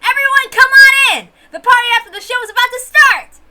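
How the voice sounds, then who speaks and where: A woman voicing a boisterous, gleeful witch hostess in a visual novel read-aloud, proclaiming loudly and theatrically. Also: very high voice, brisk, heavy background noise, voice pushed up. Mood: gleeful, excited.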